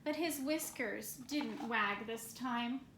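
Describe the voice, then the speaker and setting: A woman reads a children's story aloud at an unhurried, slow pace. Her voice is fairly high and bright, recorded in a roomy, echoing space. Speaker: storybook reader. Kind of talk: scripted children's story narration. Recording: roomy echo, faint background noise.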